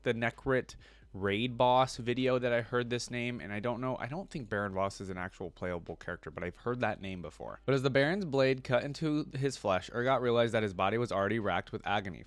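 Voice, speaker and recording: A man's voice: medium pitch, no echo. A man making a reaction video, switching between reading lore aloud and informal, musing commentary. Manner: informal, pausing to explain and muse.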